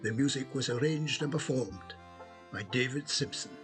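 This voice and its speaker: A man's voice: mid-range voice, moderate pace, fairly bright tone. A man reading scripted closing credits as the announcer of an audio drama. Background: instrumental music.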